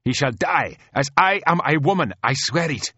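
Audiobook role: male narrator as defiant young royal woman, pitched up, very animated, snapping and hot-tempered. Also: normal speed, breathy edge, no room sound, highs cut off, heavily acted. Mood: furious, vengeful. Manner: snapping, shrill outbursts.

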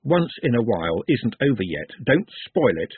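A man's voice: medium pitch, sing-song, moderate pace, slightly rough, dry recording. A man voicing a talking glass of sherry in a storybook, a cheeky tempter who coaxes and argues. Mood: coaxing. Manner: coaxing, brash, argumentative. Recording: muffled phone-like narrow band.

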